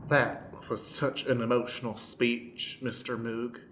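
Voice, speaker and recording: A man's voice: medium pitch, flat delivery, measured pace, a bit bright, a little hiss or hum, close to an everyday voice. A man playing a sentimental blind judge in an improvised radio comedy, making grand, moved declarations. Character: sentimental, pompous blind judge presiding over a court. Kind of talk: improvised radio play acting.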